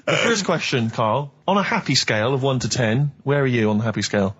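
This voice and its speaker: A man's voice: medium pitch, expressive, fairly quick, fairly bright tone, breathy edge. A man chatting casually and comically as a radio co-host, ranting in a hesitant, repetitive way. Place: radio studio. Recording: dull lo-fi radio recording.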